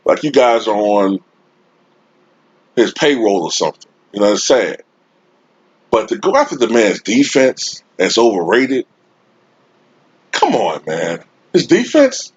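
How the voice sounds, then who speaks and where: A man with a deep voice giving an emphatic, informal opinion rant to his viewers as a sports YouTuber, recorded on a desktop USB microphone. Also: expressive, breathy edge, no echo. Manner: emphatic, informal, drawn-out delivery with frequent rhetorical tags. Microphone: Blue Snowball-type desktop USB microphone.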